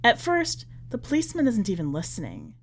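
A woman reading in a measured, expressive way, as a podcast host presenting and narrating short fiction. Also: a little high, breathy edge. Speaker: podcast host and story reader.

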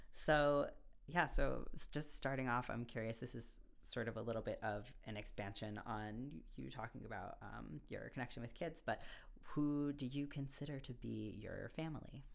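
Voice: mid-range voice, normal speed, creaky. A woman hosting a podcast, introducing guests and asking questions in a friendly, casual way. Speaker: podcast host.

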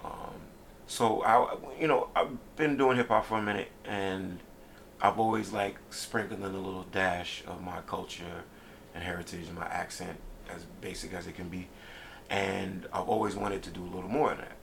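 Low gravelly guy from brooklyn chatting slow and loose as a guest, lots of ums, faint hiss behind. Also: steady tone, a bit bright. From Brooklyn, New York. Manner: laid-back, meandering, hesitant with many ums. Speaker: guest rapper. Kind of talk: casual interview chat.

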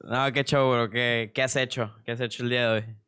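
A young man with a Mexican Spanish accent hosts a casual podcast. His voice is smooth and clear, and he keeps the talk moving with playful, slangy questions. The sound is dry and close to the mic. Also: medium pitch, steady tone, brisk, sharp tone, no echo. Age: young adult. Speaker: podcast host.

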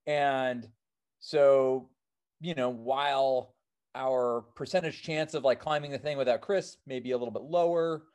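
A man's voice: mid-range voice, steady tone, a little slow, darkish tone, smooth. A man in his thirties with an American accent, born in New Zealand and raised in Seattle, answering interview questions casually with many fillers. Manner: rambling, many fillers like "um" and "you know". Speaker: alpine climber and filmmaker, guest.

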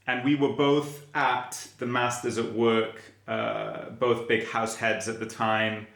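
A man's voice: mid-range voice, level tone, creaky, a bit bright. Guy hosting a podcast, stops and restarts a lot, echoey room. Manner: thoughtful, halting, with many restarts. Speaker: podcast host. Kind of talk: interview.

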